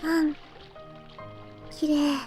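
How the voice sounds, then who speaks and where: A woman's voice: sits high, monotone, slow pace, a little mellow, faint music behind. A woman playing a young female character in a Japanese visual novel. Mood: soft, gentle.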